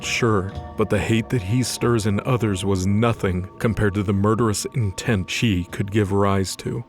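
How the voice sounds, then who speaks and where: A man narrating a scripted fantasy audio drama. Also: on the low side.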